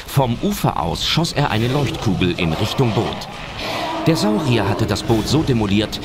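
A man reading scripted narration for an adventure audio drama. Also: on the low side. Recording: loud music under the voice. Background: loud film-style music and effects.

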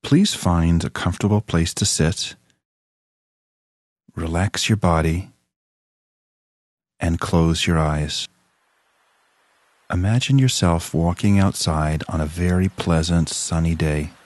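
A man narrating a scripted guided relaxation exercise in a calm, soothing, measured manner with long pauses. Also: sits low, dry recording.

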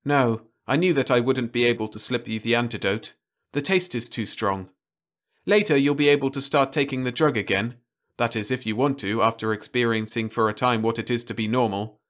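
Male reader as earnest woman astronaut, soft, higher voice, sci-fi storybook. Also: fairly quick, natural voice. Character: calm, earnest woman astronaut stranded with husband. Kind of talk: storybook reading.

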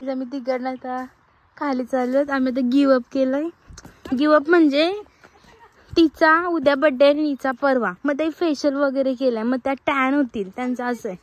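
A woman's voice: high-pitched, steady tone, normal speed. A young woman from Maharashtra, India, vlogging casually on a phone outdoors, chatty and mixing in English words. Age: young adult. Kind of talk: casual vlog narration to camera, chatting with friends. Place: outdoors on hillside trails and farm grounds. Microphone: phone at arm's length. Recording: noisy outdoor phone recording.

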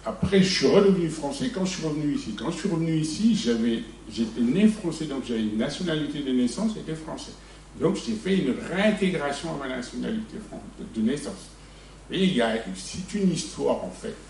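A man from Senegal giving a blunt, animated personal testimony from the audience at a debate. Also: on the high side, fairly quick, vocal fry, a little hiss or hum. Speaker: audience member. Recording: echoey room, mic far off.

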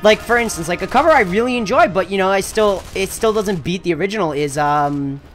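A man's voice: sits high, animated, fairly quick, fairly bright tone. A young man rambling through a casual chat while his friend plays a game. He talks in loose, winding sentences full of fillers and swearing, over faint background music. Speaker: let's play co-host.

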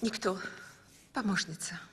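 A woman playing a weary, gruff but submissive servant in an animated film, with a raspy voice. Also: medium pitch, a little slow. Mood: subdued.

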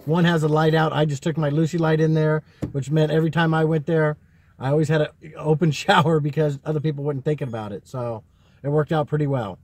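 Man, middle-aged, fast talker, fairly high pitch, casual vlog chat in a vehicle. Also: fairly even intonation, a little mellow. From Texas. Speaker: vlog co-host. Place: inside a parked vehicle.

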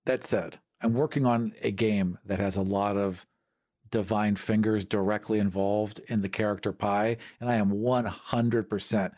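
A man's voice: on the low side, fairly even intonation, normal speed, darkish tone, no room sound, studio quality. A man co-hosting a podcast, talking casually about tabletop games. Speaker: podcast co-host.

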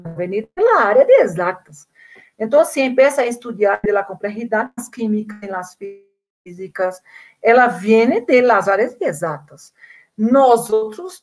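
A woman's voice: mid-range voice, a little mellow. A Brazilian woman speaking Spanish as a second language with a Portuguese accent, giving an earnest conference talk over a video call and repeating words as she searches for them. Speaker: invited speaker. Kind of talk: online conference talk, answering questions.

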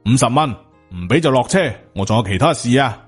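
Rude middle-aged cabbie for an audiobook, voiced by a man, Hong Kong Cantonese, brusque, mid-pitched, faint music behind. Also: lively intonation, brisk, breathy edge. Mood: impatient, angry. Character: surly, impatient, skinny middle-aged male taxi driver.

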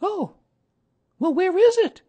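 A man voicing an eager, affectionate young boy in a storybook reading, with a high, childlike voice. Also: expressive, slow, dull audio, heavily acted. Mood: excited, curious.

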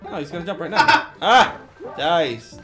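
Young guy playing co-op, jokey, goes from mumbling to yelling, bit high and bouncy, game music under it. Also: very animated, unhurried. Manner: jokey, loud outbursts, mock dramatic. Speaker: gaming channel co-host. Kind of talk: playful co-op gameplay commentary.

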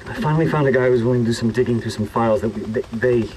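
An actor playing a jittery, guilt-ridden government researcher who stammers and repeats himself, in a video game. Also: male voice, medium pitch, very fast, background noise, lightly acted. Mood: reluctant. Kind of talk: naturalistic video game acting.

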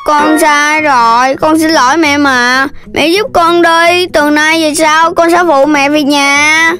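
A woman voicing a boastful, big-bellied young animal in a children's cartoon, with a childlike, whiny voice. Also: fairly even intonation. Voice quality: high, childlike. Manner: boastful, whiny when tired, drawn-out. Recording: music playing behind the voice.

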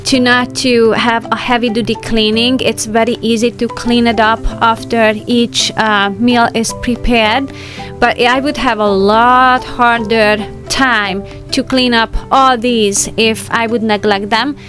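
A woman in her thirties narrating a homemaking vlog in a relaxed, chatty voice-over with fillers and light laughs. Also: on the high side, unhurried, soft background music. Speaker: homemaking vlogger.

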